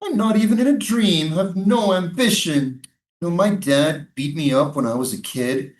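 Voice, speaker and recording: A man's voice: high-pitched, normal speed, natural voice. A man in a staged play reading, playing a defensive, sardonic American military prison guard. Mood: bitter, wounded. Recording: roomy, echoing live staged reading.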